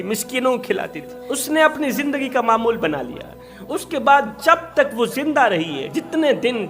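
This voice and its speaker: A man delivers an impassioned sermon, his voice pitched high as he drives each point home with repetition and rising cries. A soft music bed runs faintly beneath him. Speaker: preacher. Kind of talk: impassioned Urdu sermon with storytelling.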